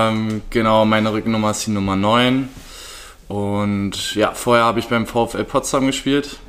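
A man's voice: lowish voice, little pitch movement, unhurried, a bit bright. A man in his late twenties from Berlin, a podcast guest answering casually with lots of hesitant fillers. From Berlin, Germany. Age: 20s. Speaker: guest, handball player.

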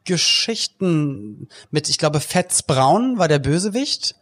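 A man with a somewhat high, husky voice hosts a casual chat. He talks colloquially and throws in animated exclamations. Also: takes it slowly. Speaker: podcast host.

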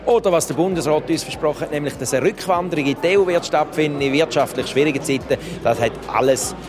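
A man with a Swiss accent making a brisk, insistent political statement outdoors in a town. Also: fairly high pitch, a crowd in the background.

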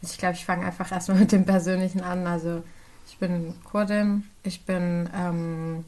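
Low, flat-voiced young woman, hesitant. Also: normal speed. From Germany. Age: 20s. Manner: hesitant, many fillers and restarts. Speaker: podcast guest. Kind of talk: casual conversational interview.

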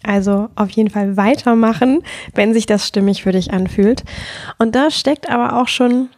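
A woman with a cold talks softly and thoughtfully in a mellow, dark voice, a little nasal and breathy. She speaks in a calm, unhurried way as she hosts her own podcast. The sound is dry and close. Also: fairly high pitch, no room sound. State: has a cold, slightly nasal. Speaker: podcast host and sexual life coach. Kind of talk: solo podcast talk.

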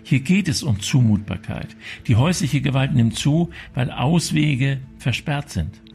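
Man, radio commentator from Berlin, measured and earnest, gravelly, faint music beneath. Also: medium pitch, a little mellow. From Berlin, Germany.

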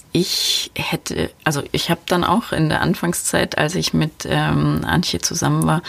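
A woman's voice: low voice, gravelly, no echo. A woman hosting a podcast, talking casually and thinking aloud as she answers listener questions. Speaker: podcast host.

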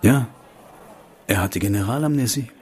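An actor playing a determined, disillusioned investigator in a political radio play, measured and dryly sardonic. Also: male voice, mid-range voice, darkish tone, a faint crowd, close to an everyday voice. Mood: dry, knowing. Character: determined male investigator, disillusioned with corrupt state authorities.

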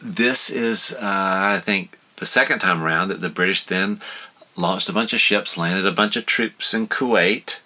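A man, telling history in a relaxed chat, with hesitant restarts and chuckles at his own jokes. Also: low voice, a little slow. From United States. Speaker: podcast guest explaining history. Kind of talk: casual storytelling chat.

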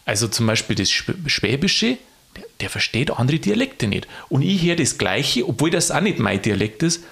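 A man, chatting casually as a show host and rising in pitch for emphasis. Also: big pitch swings, normal speed, gravelly, a bit bright. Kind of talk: casual chat.

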